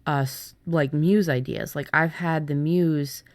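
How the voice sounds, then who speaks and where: Female co-host, low pitch, dry close sound, informal halting workshop chat. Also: fairly even intonation, dry recording. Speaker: podcast co-host and writer.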